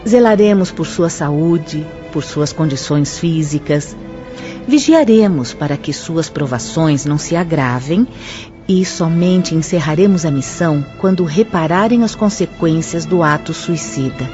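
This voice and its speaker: A woman's voice: low voice, lively intonation, unhurried, dark tone, highs cut off. A woman playing a composed, earnest spirit instructor who speaks formally and solemnly in a radio drama. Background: faint music.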